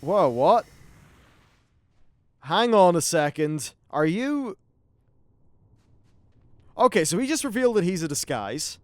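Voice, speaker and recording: A man's voice: sits high, very animated, slow pace, long pauses, no echo. A man hosting a gaming video with casual commentary and wry, sweary asides.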